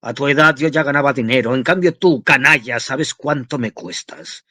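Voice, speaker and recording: Man putting on a shouting domestic tyrant, older father figure, loud rant. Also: medium pitch, normal speed, no echo, studio quality. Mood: furious. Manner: ranting, shouting.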